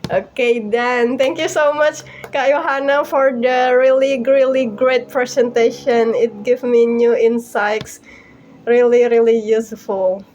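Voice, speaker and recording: A woman's voice: fairly high pitch, fairly even intonation, unhurried, darkish tone. A young Indonesian woman with an Indonesian accent, presenting informally to a small online group in a giggly, friendly, chatty way. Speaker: host and presenter of a language practice talk group. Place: online video call.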